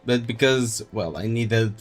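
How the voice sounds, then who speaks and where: A man's voice: fairly low pitch, measured pace. A man giving casual, wry live commentary as he streams a video game. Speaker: livestreamer.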